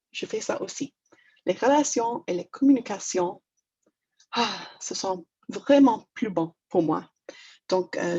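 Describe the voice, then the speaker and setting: Slow, gravelly woman with English Canadian accent. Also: mid-range voice, dark tone, muffled recording. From Toronto, Canada. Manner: hesitant, many fillers, self-deprecating. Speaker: negotiation trainer. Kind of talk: webinar presentation.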